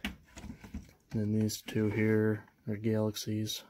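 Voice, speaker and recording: A young man talks through his gear in a low, steady voice that barely rises or falls. He sounds casual and unsure, pausing often and trailing off with fillers as he shows his collection. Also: slight background noise. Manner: unsure, hesitant, many fillers and trailing phrases. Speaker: vlogger showing his radio collection. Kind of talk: casual show-and-tell walkthrough.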